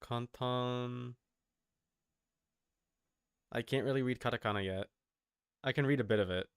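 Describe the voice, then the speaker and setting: Casual male streamer with clear, dry sound. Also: medium pitch, a little slow, dry recording. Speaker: streamer reacting to a video. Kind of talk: casual live reaction commentary.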